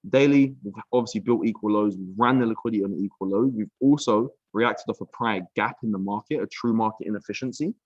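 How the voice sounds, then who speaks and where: A young British man breaking down trading charts on a casual live stream, relaxed and chatty, full of "um", "bro" and "like". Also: on the low side, fast, few pauses, no echo, lo-fi sound. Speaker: trading mentor. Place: villa room.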